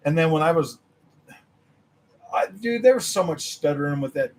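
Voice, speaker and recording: Excitable gaming streamer, slightly raised pitch. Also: male voice, normal speed. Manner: animated, laughing, mimics others in a high voice. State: recovering from losing his voice. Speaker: gaming channel host. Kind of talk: casual livestream chat with viewers.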